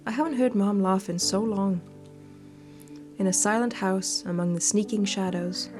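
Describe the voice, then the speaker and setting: A woman's voice: medium pitch, moderate pace, darkish tone. A woman hosting a gaming video, reading a horror story game aloud with unscripted reactions. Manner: sweary, unscripted asides. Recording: faint music behind the voice. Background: game music.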